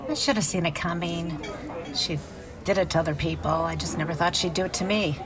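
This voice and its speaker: A woman's voice: on the low side, steady tone, fairly quick, noisy background, lightly acted. A woman playing a guarded, self-deprecating divorced businesswoman in middle age, speaking dryly and confidingly in an audio drama. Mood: guarded, candid. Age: middle-aged. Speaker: lead actress and narrator. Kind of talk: confessional first-person narration and dialogue in audio drama.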